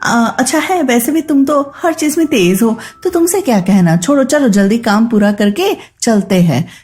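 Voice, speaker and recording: A woman playing a bossy, greedy, sharp-tongued young woman in a children's cartoon story, scolding and snappish. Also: a little high, animated, moderate pace, natural voice.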